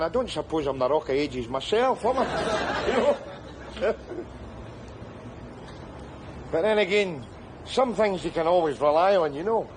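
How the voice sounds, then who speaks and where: An actor playing a sardonic, rambling middle-aged down-and-out man, who breaks into shouts. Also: high voice, slight background noise. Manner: wry, rambling, bursts into shouts. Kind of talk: screen drama acting.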